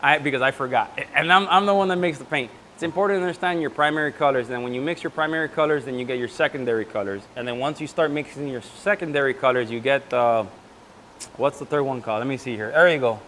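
A man's voice: mid-range voice, quick pace, a bit bright, slight background noise. A man in his thirties hosting a custom paint class, talking loosely and joking, often trailing off mid-sentence, on a lapel mic indoors. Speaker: host and paint maker. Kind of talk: casual workshop teaching. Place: indoor event room before a backdrop.

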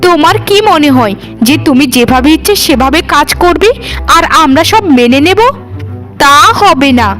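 A woman's voice: sits high, lively intonation, moderate pace, fairly bright tone, soft background music. A woman playing an arrogant young sister-in-law in a cartoon, talking in a haughty, commanding way. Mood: haughty, bossy. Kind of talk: cartoon voice acting.

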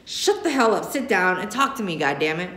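A woman's voice: medium pitch, big pitch swings, brisk, a bit bright. A woman reading a storybook voices a cranky, theatrical grandmother who curses and bosses, in a roomy classroom. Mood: irritated, bossy. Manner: emphatic, bossy, theatrical. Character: dramatic, cranky, cursing grandmother, retired theater teacher.

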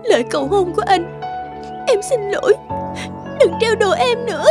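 A gentle young cartoon heroine with a high voice. She speaks earnestly and hesitantly, like someone unsure she belongs. Music plays lightly underneath. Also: animated, normal speed. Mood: pained, pleading. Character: gentle, insecure young working-class girlfriend. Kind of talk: animated drama dubbing.

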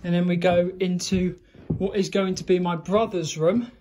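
A man's voice: high-pitched, fairly even intonation, normal speed, mellow, slight background noise. A young man talks his way through a cottage tour, chatty and a little meandering, with fillers and small restarts. He films on a handheld phone as he walks indoors from room to room. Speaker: vlogger. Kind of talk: casual house tour. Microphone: phone camera held in hand.

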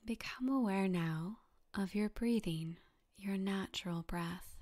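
A woman softly guiding a meditation in a breathy, half-whispered voice, calm and soothing, with gentle instructions and long pauses. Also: mid-range voice. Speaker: yoga nidra meditation guide. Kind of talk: guided meditation narration.

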